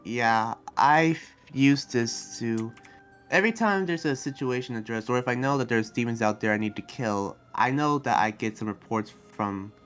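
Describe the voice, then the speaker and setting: An earnest, protective demon fighter acted by a man talks in a hesitant, rambling way. It is improvised anime game roleplay at an ordinary male pitch, with faint music behind. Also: normal speed, fairly bright tone, lightly acted. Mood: explaining. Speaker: roleplay series player.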